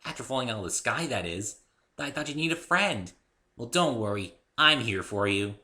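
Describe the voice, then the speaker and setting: Casting: bubbly girl pony for a cartoon storybook, played by a man, pitched up high, bright, chirpy, friendly and rambling. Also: lively intonation, normal speed. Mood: tender. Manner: perky, sweet, rambling. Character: bubbly young female pink cartoon pony, friendly, scatterbrained.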